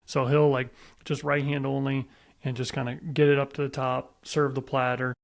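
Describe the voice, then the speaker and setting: A man's voice: mid-range voice, little pitch movement, moderate pace, creaky. A man hosting a podcast, chatting casually as he interviews a guest about a sport. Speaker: podcast host.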